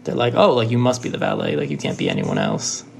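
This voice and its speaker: A man guest telling his story casually, quick and emphatic, acting out quoted lines. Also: extremely low pitch, wildly swooping pitch, creaky, slight background noise. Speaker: listener guest.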